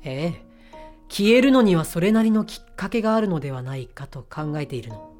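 A woman's voice: fairly low pitch, animated, fairly quick, a little mellow, soft background music, natural voice. A woman playing a self-assured, flamboyant man who talks in assertive, theatrical feminine phrasing in a voice drama. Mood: confident, conspiratorial. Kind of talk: amateur voice drama acting.

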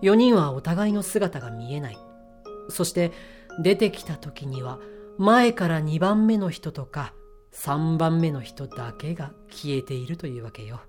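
A woman plays a sharp-tongued leader of a secret mission in an audio drama. The voice sits on the low side and the delivery is assertive and commanding, with feminine turns of phrase. Faint music plays behind. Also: expressive, unhurried. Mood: grave, explanatory.

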